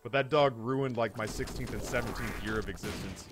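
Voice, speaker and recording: Male streamer, 30s. Medium pitch, casual comedic storyteller, exaggerating and swearing freely, background music. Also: fairly quick. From United States. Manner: animated, hyperbolic, profane. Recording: music behind the voice.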